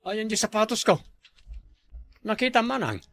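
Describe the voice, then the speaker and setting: A man's voice: sits high, lively intonation, normal speed, fairly bright tone, no echo. A man with an Ilocano accent playing a son who pleads in a family comedy sketch.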